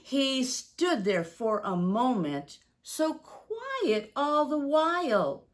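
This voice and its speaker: A woman, speaking deliberately and expressively to young children as a story-time teacher. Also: high-pitched, sing-song. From United States, Midwest.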